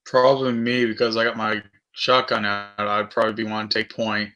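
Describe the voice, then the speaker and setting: Bright-voiced man at a game table. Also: on the low side, level tone, a little fast. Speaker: player in a tabletop role-playing game. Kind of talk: casual game table chat.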